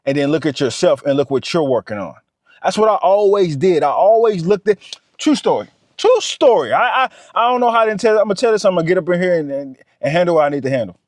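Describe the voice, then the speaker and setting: Animated man in his thirties, dry close sound. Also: high-pitched, quick pace, dry recording. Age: 30s. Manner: animated, repeats himself, talks straight to the viewer. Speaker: vlogger. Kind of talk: motivational talk to camera.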